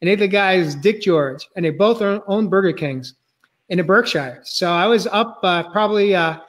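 A man's voice: high voice, moderate pace. A man in his fifties from New England with a New England accent, hosting a casual video-call interview, rambling with many fillers over a webcam at home. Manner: rambling, filler-heavy, warm prompting. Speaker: podcast host. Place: home room, indoors.

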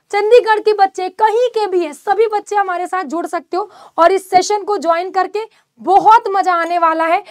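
A young woman teacher with a very high voice talks straight to her students, lively and full of gestures. She is close on a lapel mic in a dry studio with no room sound. She sounds like an online class announcement. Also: no echo. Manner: animated, gesturing, direct address to students. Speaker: exam-prep teacher. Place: studio in front of a presentation screen.

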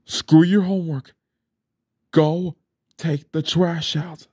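A man performing an ASMR roleplay as a stressed single father, grumbling under his breath and then yelling in anger. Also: fairly high pitch, steady tone, takes it slowly, breathy edge, no room sound, clean studio sound. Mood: angry. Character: stressed single father, short-tempered, drinking.

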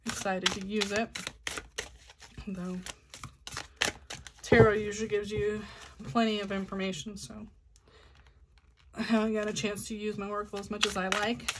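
Casual woman host, mid-pitched, chatty with lots of fillers, home video tarot reader. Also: level tone, moderate pace, pauses often, noisy background. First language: not Korean. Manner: chatty, many ums and restarts. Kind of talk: casual talk to camera.

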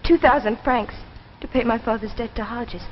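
An actress playing an anguished young daughter with a desperate secret, pleading breathily in 1950s television drama style. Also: female voice, on the high side, moderate pace, natural voice. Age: young adult. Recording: muffled, noisy 1950s television soundtrack.

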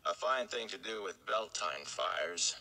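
Young male narrator, deep and creaky, dramatic fantasy read-aloud. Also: sing-song, unhurried, bright, forceful tone, low-quality recording. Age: young adult. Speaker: audiobook-style reader for a fan read-along. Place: small indoor room.

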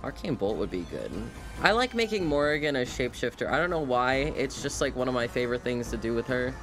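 A man's voice: medium pitch, sing-song, fast talker. A man giving casual, informal live commentary as a game streamer, musing aloud to himself. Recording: game music behind the voice.

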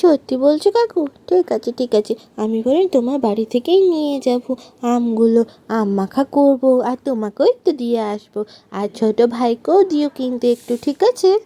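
A woman's voice: high-pitched, lively intonation, normal speed, warm tone. A woman voicing an eager young village girl in a cartoon, pleading in a childlike, sing-song way. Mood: excited.

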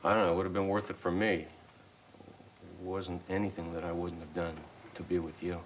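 An actor playing an easygoing young boyfriend on a 1990s daytime soap who talks calmly and reassuringly. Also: male voice, low voice, a little fast, close to an everyday voice. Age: young adult. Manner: calm, reassuring, some restarts. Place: indoor studio set. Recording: noisy soap broadcast recording.

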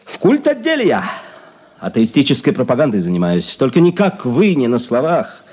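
An actor playing a zealous, prickly anarchist propagandist in a radio play, insistent and grandiose. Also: male voice, medium pitch, huge pitch swings, normal speed, darkish tone, slightly rough. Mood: prickly, defiant. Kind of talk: radio drama acting.

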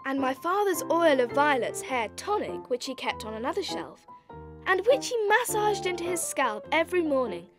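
High-voiced scheming English girl. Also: sing-song, moderate pace. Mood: sly, relishing. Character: clever, scheming little girl telling her own story. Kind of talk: scripted first-person storytelling in dramatized audiobook. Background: piano music.

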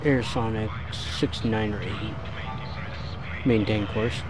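A man speaking terse, deliberate voice commands as an air traffic controller in a game. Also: fairly low pitch, steady tone.

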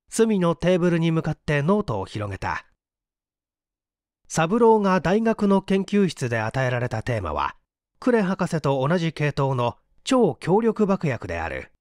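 A male audiobook reader narrates a story with lively intonation. His voice is slightly high for a man, and the recording is dry and close. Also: no echo. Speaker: audiobook reader, stage actor. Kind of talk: dramatic scripted story narration.

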